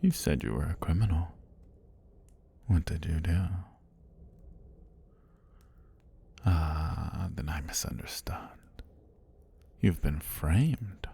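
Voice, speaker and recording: A man voicing an ancient serpent god, calm, predatory yet tender, in a slow, formal, whispery ASMR roleplay with a husky, breathy low voice. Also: full character voice. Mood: calm, curious. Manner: slow, deliberate, formal speech with whispery delivery. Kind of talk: intimate ASMR roleplay monologue.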